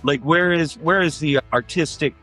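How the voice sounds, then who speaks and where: A man co-hosting a casual interview, asking questions in a halting way as he searches for words. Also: on the high side, sharp tone, faint music behind.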